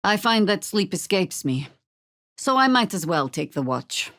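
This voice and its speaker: An actress playing a world-weary, wry middle-aged veteran druid who leads rebels in a video game, with a dry, measured delivery. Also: female voice, medium pitch, very animated, fairly bright tone, no echo. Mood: stern, weary. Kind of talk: video game character acting.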